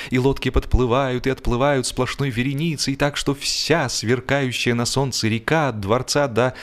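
A man reading a humorous story as an audiobook narrator, in an expressive, measured storytelling manner. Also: mid-range voice. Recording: music behind the voice. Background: instrumental music.

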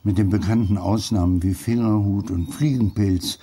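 A man's voice: on the low side, steady tone, normal speed, lightly acted. An actor playing a wise, earthy old grandfather in a radio play, musing and instructing. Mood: philosophical. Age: older. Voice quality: gravelly, mellow.

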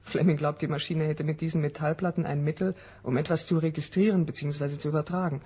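A woman acting a brisk, sceptical research scientist in a 1970 radio drama, precise and matter-of-fact, in standard German. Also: sits low, fairly even intonation, mellow, breathy edge. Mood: clinical, sceptical.

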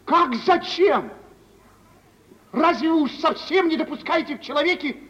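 An actor playing a vain young bridegroom with wounded pride, declamatory and self-pitying, in 1940s radio drama style. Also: male voice, very high-pitched, expressive, normal speed, fairly bright tone. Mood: bitter, self-pitying. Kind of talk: 1940s theatrical radio acting. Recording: distant, muffled old radio play recording.

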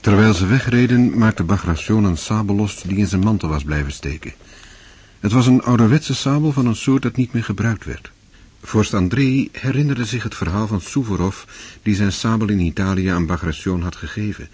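A man's voice: lowish voice, moderate pace, a little mellow, breathy edge. A man narrating a radio drama in a scripted, literary style.

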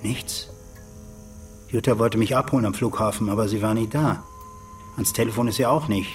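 An actor playing a self-important, combative middle-aged politician in a radio drama, with pompous, declamatory delivery. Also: male voice, on the low side, steady tone, normal speed, faint music behind, natural voice. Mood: rattled. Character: self-important married male nationalist politician, combative and aggrieved. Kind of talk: German radio drama acting.

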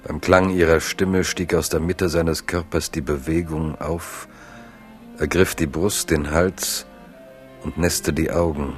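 A very deep, solemn narrator. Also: male voice, flat delivery, slow, faint music behind. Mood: tender, rueful. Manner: solemn, lyrical, dramatic. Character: solemn, lyrical narrator of a tragic romance. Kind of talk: literary radio drama narration.

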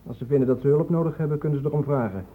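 Casting: male actor as cocky, defiant youth for radio drama; fast, husky, on the high side. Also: lightly acted. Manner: curt, challenging. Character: defiant, cocky young man protecting a friend. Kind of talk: Dutch radio drama acting. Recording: muffled phone-like old radio recording.

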